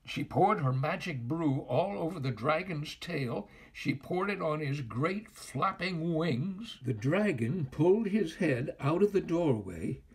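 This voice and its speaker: An older man reading a children's story aloud as a storyteller, with theatrical, expressive and deliberate phrasing. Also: on the high side, breathy edge.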